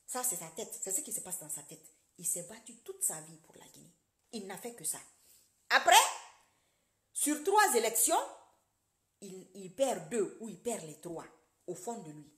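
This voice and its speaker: A woman lectures her online audience in a preachy, animated way, with loud emphatic bursts and quiet muttered asides. Her voice sits in a middle pitch. The sound is roomy and echoey, recorded on a phone or webcam. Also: moderate pace, pauses often. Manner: animated, preachy, addresses viewers directly. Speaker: social media commentator. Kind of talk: casual political monologue to followers.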